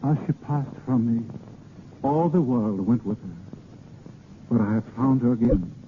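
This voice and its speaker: An actor playing an ardent, gallant vagabond poet posing as a lord, with theatrical, hesitant pauses, in a 1930s radio drama. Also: male voice, mid-range voice, lightly acted. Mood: ardent, wooing. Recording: muffled 1930s radio broadcast recording.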